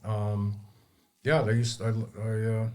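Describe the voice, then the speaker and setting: A man's voice: fairly low pitch, little pitch movement, slow, mellow. A man in his fifties from Toronto, a community photographer and studio guest, talking in an upbeat, rambling way full of restarts. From Toronto, Canada. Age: 50s. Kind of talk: casual studio chat.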